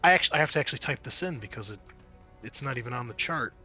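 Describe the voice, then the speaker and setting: Male game master, bright animated delivery, casual swearing, improvised narration over faint music. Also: medium pitch, very fast. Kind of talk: running a tabletop roleplaying game, improvised narration.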